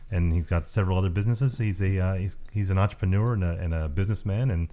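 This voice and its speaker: A male podcast host with a very deep voice talks quickly and keeps the chat going. His tone is dark and mellow. He banters with his guest easily. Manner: chatty, quick-talking, jokey.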